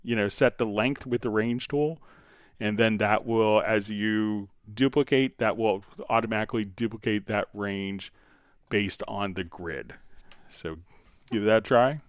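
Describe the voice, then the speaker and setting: Low, smooth-voiced man teaching software. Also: a little slow, no room sound, studio quality. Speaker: livestream host, software instructor. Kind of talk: casual live software tutorial.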